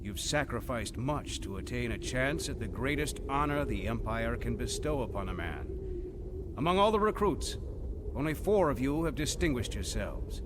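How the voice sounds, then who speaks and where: A man's voice: mid-range voice, expressive, normal speed, background noise, close to an everyday voice. A man playing a stern imperial military commander in an audio drama, formal, ceremonious and declamatory. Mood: ceremonial, proud.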